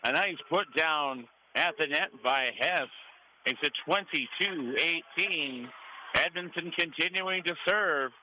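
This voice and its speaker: A man's voice: fairly high pitch, takes it slowly. American sports announcer man over gym noise. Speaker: play-by-play radio announcer. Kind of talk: live sports commentary. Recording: clearly noisy gym ambience behind.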